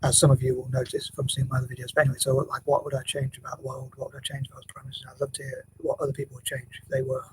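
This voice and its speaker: A quiet-voiced man rambles on in a flat, unchanging monotone, words tumbling out quickly between hesitations and restarts. He often drops to a near whisper. A faint hum of room ambience sits behind him. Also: medium pitch, poor audio. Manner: hesitant, mumbling, many restarts and fillers. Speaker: vlogger. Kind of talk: rambling casual vlog monologue. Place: home room, building work next door.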